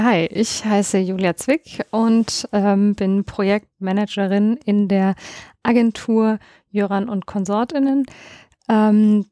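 A woman introducing herself as a podcast guest, talking hesitantly with many pauses and fillers. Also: mid-range voice, mellow, dry recording, studio quality. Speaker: guest, project manager. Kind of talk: self-introduction in an interview.

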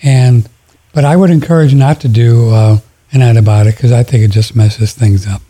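A man hosting a call-in radio show, relaxed and friendly, giving callers home remedies. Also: mid-range voice, normal speed.

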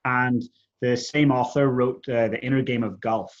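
A man's voice: mid-range voice, fairly even intonation, normal speed. Man in conversation, slightly dull recording. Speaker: interview guest. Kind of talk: conversational personal storytelling.

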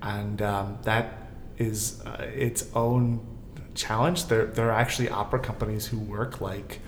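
A man's voice: lowish voice, level tone, a little slow. A man, probably middle-aged, chatting casually on a panel and thinking aloud in a discursive way. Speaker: guest co-host on a theater podcast. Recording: roomy echo, faint background noise.

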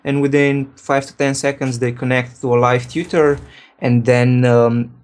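A man from Slovenia, a programmer, answering interview questions in a relaxed way, thinking aloud and correcting himself. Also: medium pitch, a little slow. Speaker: programmer and guest.

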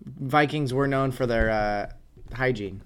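A man's voice: mid-range voice, smooth. A man co-hosting a podcast with his wife. He chats loosely and rambles through his jokes. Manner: joking, rambling, quick asides. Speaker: podcast co-host. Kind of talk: casual chat.

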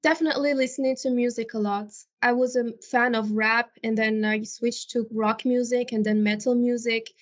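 A woman's voice: on the high side, steady tone, normal speed, hardly any pauses. A woman from Morocco, a non-native English speaker, answering conversationally and articulately as an interview guest. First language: not English. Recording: dull, lo-fi audio.

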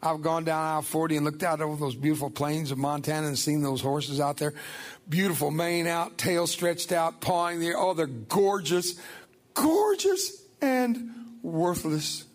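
An older man gives a testimony to a church congregation, his first language Spanish. He tells his story in a folksy, emphatic way, with a voice set somewhat high for a man. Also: unhurried. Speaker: guest speaker.

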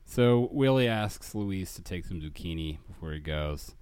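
A man's voice: deep voice, animated, darkish tone, clear, resonant tone, no echo. A man hosting a podcast, chatting casually and wryly about a sitcom episode, rambling and correcting himself as he goes. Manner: rambling, wry, quick to self-correct. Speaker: podcast host. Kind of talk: casual comedic recap chat.

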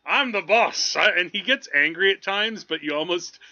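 A man's voice: high voice, normal speed, bright, forceful tone, lo-fi sound. A man talking through films casually as a podcast host, in a rambling, conversational way. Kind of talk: casual film discussion.

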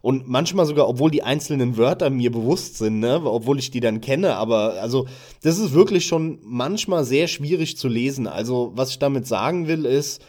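A German-speaking man co-hosting a podcast, chatting about gaming websites in a relaxed, casual way with a few filler sounds. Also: medium pitch, moderate pace. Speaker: podcast co-host.

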